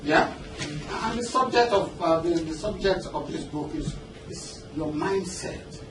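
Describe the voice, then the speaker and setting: A man's voice: a little high, expressive, a little slow. Nigerian man speaking in a noisy, echoey room. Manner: conversational, engaging the audience with questions and check-ins. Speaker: business coach and seminar speaker. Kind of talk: motivational seminar talk. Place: indoor seminar room with an audience. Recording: roomy, noisy seminar hall, distant mic.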